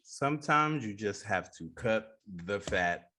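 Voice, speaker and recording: A man's voice: lowish voice, animated, unhurried, sharp tone. A man with a New York accent hosting a casual radio interview.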